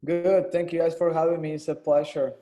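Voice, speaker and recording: A man in his thirties from Argentina, a former player and coach, telling his story in a relaxed, conversational interview with frequent "um"s. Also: on the high side, fairly quick. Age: 30s. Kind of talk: casual interview.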